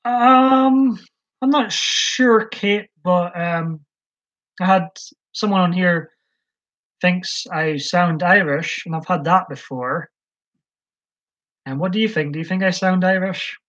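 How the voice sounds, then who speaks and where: A man's voice: sits high, slow pace, pauses often, a bit bright. A man hosting a casual live stream, chatting hesitantly with lots of ums as he answers viewers' comments. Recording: dull lo-fi stream audio.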